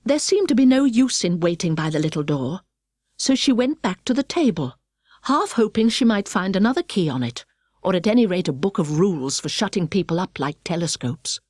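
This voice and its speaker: Audiobook narrator: British woman, precise expressive storyteller, highly varied intonation, dry studio sound. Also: brisk, no room sound.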